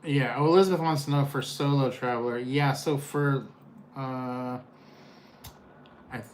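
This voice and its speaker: An older man with a mid-pitched voice co-hosts a relaxed live Q&A. He is easygoing as he reads out viewer comments and answers them. Faint noise sits under the recording. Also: unhurried. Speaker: co-host. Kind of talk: casual live Q&A chat.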